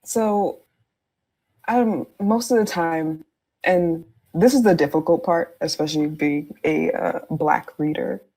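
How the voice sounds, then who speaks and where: Very low-voiced woman chatting as podcast guest. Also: slow pace, warm tone. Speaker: podcast guest, fan fiction writer. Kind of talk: casual explanatory chat.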